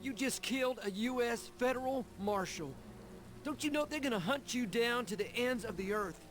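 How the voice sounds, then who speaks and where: An actor playing a devout, sorrowful younger brother in a Western game, speaking solemnly and earnestly. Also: male voice, very high-pitched, normal speed, noisy background. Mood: anguished. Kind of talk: dramatic game narration and acted dialogue.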